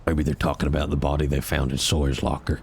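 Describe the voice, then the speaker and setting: A man's voice: very deep voice, flat delivery, fast talker, vocal fry, breathy edge. A man chatting in character at a roleplay game, dry and teasing. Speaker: roleplay character, tow driver. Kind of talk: casual in-character chat.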